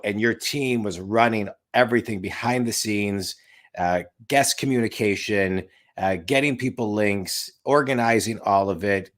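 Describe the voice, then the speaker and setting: A man in his late forties hosting an interview over a video call from a home office, talking in an emphatic, rambling way and repeating his points. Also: fairly low pitch. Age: 40s. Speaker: podcast host and business coach. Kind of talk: casual interview chat.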